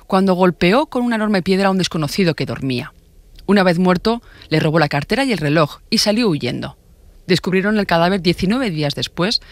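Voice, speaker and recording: A man's voice: high-pitched, a bit bright, dry recording. A man with an accent from Spain delivering scripted true-crime narration for a podcast. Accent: Spanish from Spain.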